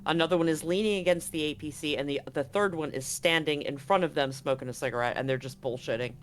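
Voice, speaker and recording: Higher-pitched man running a tabletop game. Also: fast talker. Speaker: game master. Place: live online game stream.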